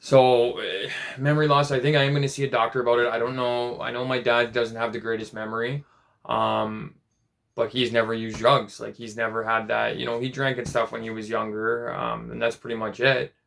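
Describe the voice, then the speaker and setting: A man in his late twenties talking in a casual personal vlog, rambling and halting, with many restarts and fillers. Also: mid-range voice, flat delivery, creaky, a bit bright. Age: 20s. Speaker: vlogger.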